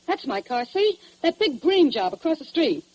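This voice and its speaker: A woman's voice: high voice, sing-song, moderate pace, mellow. An actress playing a brash, boastful woman in an old-time radio crime drama. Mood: brash, showy. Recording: dull old radio recording.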